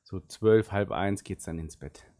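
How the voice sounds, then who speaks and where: A middle-aged man from Germany whose first language is Arabic, a football sporting director giving thoughtful, unhurried answers in a casual interview. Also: low voice, warm tone.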